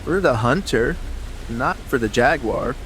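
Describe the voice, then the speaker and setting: Thoughtful hunter, ambient noise behind. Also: male voice, medium pitch, expressive, fairly bright tone, poor audio. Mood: pensive. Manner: measured, philosophical. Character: thoughtful, reflective male big game hunter. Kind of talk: dramatized audiobook acting.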